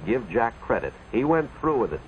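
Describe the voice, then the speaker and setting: An actor playing an earnest, moralizing school official who narrates a cautionary tale in a 1950s educational film. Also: male voice, mid-range voice, sing-song, normal speed, close to an everyday voice. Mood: earnest, dramatic. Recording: dull, noisy 1950s educational film soundtrack.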